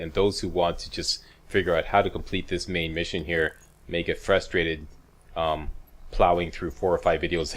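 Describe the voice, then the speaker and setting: A man hosting a video game walkthrough with casual, chatty, wry commentary and frequent check-ins with the viewer. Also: deep voice. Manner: chatty, wry, mildly profane, checks in with "okay" and "right".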